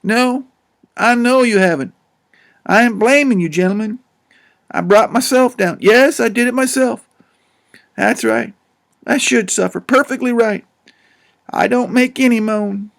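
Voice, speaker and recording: A man plays a young swindler who wallows in self-pity and speaks in grand, theatrical mourning. The voice is pitched high and slow, with a lively, drawn-out delivery. It is an audiobook character voice. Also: gravelly, full character voice. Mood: mournful. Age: young adult. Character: young male swindler, melodramatic and self-pitying.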